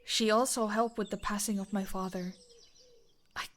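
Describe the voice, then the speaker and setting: A woman playing a modest, grateful farmer in a fantasy audio drama, gentle, polite and a little hesitant. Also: mid-range voice, steady tone, normal speed, lightly acted. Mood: sincere. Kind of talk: scripted fantasy audio roleplay.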